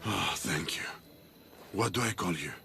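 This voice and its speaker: An actor playing an earnest, embattled rebel in a video game, urgent and forthright. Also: male voice, low-pitched, unhurried, creaky, sharp tone, slight background noise, full character voice. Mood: surprised, grateful. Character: earnest embattled male Greek rebel fighter. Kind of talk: dramatic video game acting.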